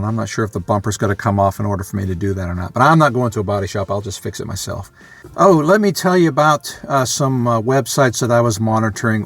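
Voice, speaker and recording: A man's voice: mid-range voice, a bit bright, dry recording. A man giving a casual, unscripted vlog talk as a hobbyist, rambling and matter-of-fact as he thinks aloud. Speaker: vlogger, amateur radio hobbyist.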